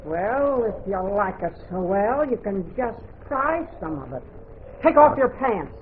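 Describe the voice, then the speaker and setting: A woman's voice: mid-range voice, sing-song, measured pace. An actress playing a tough, protective older frontier woman in a 1940s radio drama, blunt, folksy and commanding. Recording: narrow-band, hissy old radio recording.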